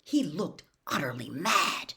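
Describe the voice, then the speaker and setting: A woman's voice: low-pitched, sing-song, takes it slowly, creaky, pushed and bright. A woman reading a novel aloud as scripted storybook narration. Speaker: audiobook reader.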